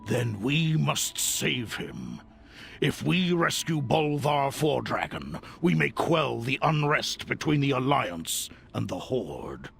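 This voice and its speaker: An actor playing a grave, honourable old orc warrior in a fantasy video game, with weighty, deliberate delivery. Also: male voice, mid-range voice, big pitch swings, creaky, a bit bright, faint music behind, full character voice. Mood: grave, hopeful.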